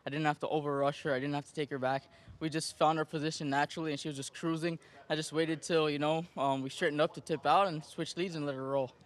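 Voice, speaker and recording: A jockey with a Jamaican accent speaks softly in a post-race interview, relaxed and playful. His voice sits a touch higher than most men's. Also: quick pace. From Jamaica and Florida. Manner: relaxed, joking, soft-spoken.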